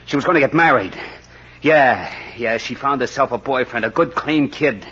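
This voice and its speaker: A man's voice: medium pitch, moderate pace, a bit bright, slightly rough. An actor playing a menacing, sardonic male racketeer in an old-time radio crime drama, talking in a tough, slangy sneer. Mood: bitter, accusing. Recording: noisy, dull old radio recording.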